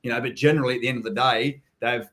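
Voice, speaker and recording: A man's voice: medium pitch, fairly even intonation, racing, a bit bright. Swearing, blokey Australian man. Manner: blunt, swears freely, calls people mate. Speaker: host. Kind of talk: casual live-stream chat.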